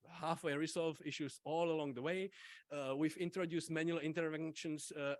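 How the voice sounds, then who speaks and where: A man whose first language is Czech gives an academic conference talk, halting often with fillers and restarts. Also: fairly high pitch, no echo. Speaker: invited conference speaker.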